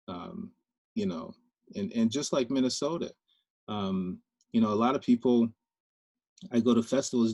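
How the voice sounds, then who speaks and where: A man from Liberia speaking on a panel discussion, thoughtful and halting, with many fillers and restarts. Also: on the low side, a little mellow, husky, no echo. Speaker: filmmaker panelist.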